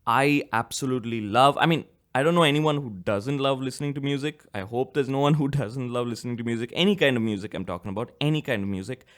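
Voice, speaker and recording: A man's voice: medium pitch, fast talker, few pauses, a bit bright. A man in his twenties with a slight cough hosts his own solo podcast, rambling casually and correcting himself. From India. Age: 20s. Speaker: podcast host.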